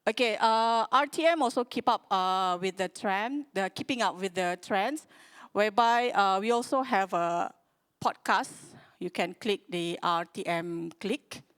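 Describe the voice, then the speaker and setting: A woman with a Malaysian accent giving a conference presentation, speaking haltingly and often searching for her words. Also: mid-range voice, a bit bright, clear, resonant tone. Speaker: conference speaker from a public radio broadcaster.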